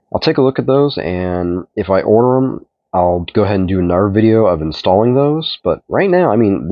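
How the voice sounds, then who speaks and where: A middle-aged man with a stuffed-up voice, casually reviewing a toy in a relaxed, rambling way. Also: low-pitched, no room sound. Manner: relaxed, rambling, repeats himself while handling the figure. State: stuffed up from a cold. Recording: dull, dry home recording.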